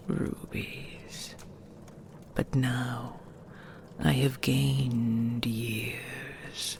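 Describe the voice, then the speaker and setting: A woman's voice: a bass voice, a little hiss or hum, heavily acted. A woman playing an ancient, possessive and tender she-dragon in an intimate audio roleplay, whispering soothingly with formal, old-fashioned phrasing. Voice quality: breathy, creaky whisper.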